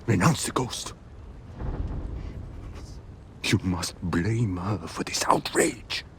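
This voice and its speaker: Gravelly, stern older samurai lord. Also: male voice, deep voice, unhurried, a bit bright. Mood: pleading, hushed. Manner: formal, commanding, emotionally intense. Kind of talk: dramatic video game cutscene acting. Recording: clear background ambience noise.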